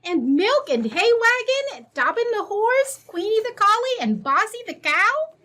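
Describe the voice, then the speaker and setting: A woman puts on an excited little girl for a vintage-style radio commercial. The voice is piercingly high and bright, swinging widely in pitch. She sounds gushing and wholesome. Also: unhurried, exaggerated voice. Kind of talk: old-time radio commercial.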